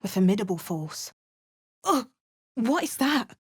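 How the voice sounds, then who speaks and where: A woman's voice: a little high, big pitch swings, a little slow, husky, exaggerated voice. A woman voicing a cautious, sardonic adventurer in a fantasy game, delivering short in-game remarks. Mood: wry. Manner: dry, sardonic asides. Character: wry adventuring heroine, dry and cautious. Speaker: player character. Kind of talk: game voice lines.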